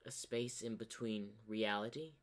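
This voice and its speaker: A man's voice: on the low side, measured pace, close to an everyday voice. A man voicing a wary, defiant zebra adventurer who asks blunt, demanding questions. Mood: wary, confused.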